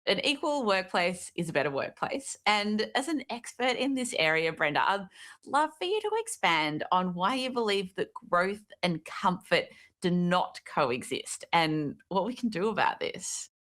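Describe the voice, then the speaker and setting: Woman, panel moderator, animated intonation, inviting tone. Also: mid-range voice, measured pace.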